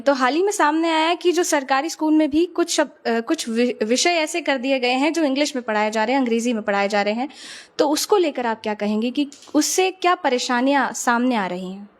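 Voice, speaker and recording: A woman with a high-pitched voice hosts the interview. She asks long, searching questions and pauses on fillers as she frames them.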